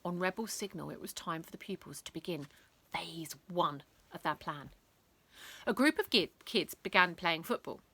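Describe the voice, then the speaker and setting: A woman reading a children's bedtime story aloud in a theatrical, expressive way, lingering on words. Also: medium pitch, no room sound.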